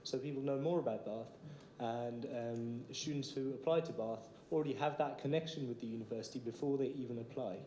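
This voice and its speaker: A man's voice: mid-range voice, fairly quick, mellow. A man from Iraq, a candidate, answering a debate question confidently with some fillers. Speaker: election candidate. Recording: echoey hall with some noise.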